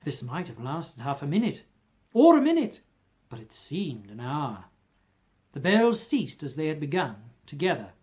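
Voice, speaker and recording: An amateur narrator reads a classic ghost story aloud in a theatrical way. The pitch sits mid-range and moves in wide, lively sweeps. Phrases swell emphatically and then fall away softer. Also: unhurried. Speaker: amateur audiobook reader.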